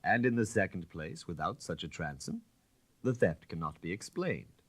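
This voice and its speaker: A man voicing a suave, self-assured gentleman detective in an audiobook story, calm, precise and dogmatic. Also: sits low, normal speed, husky, no echo, full character voice. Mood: confident, dogmatic.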